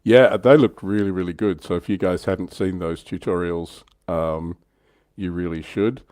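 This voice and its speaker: Deep, mellow-voiced man hosting a livestream. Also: steady tone, normal speed, no echo, clean studio sound. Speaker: livestream host. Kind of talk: casual livestream chat.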